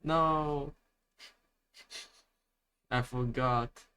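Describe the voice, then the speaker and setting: A man's voice: mid-range voice, fairly even intonation, very slow, bright, forceful tone, smooth. A man with a Malaysian English accent, chatting casually on a live stream and reading replies aloud. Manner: chatty, restarts words, reads answers aloud.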